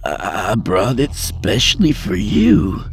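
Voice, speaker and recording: A man voicing a gentle, nervous man who coaxes a wild creature in soft, halting, overly polite words. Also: lowish voice, huge pitch swings, creaky, slight background noise, voice pushed up, exaggerated voice. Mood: nervous soothing. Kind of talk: storybook reading.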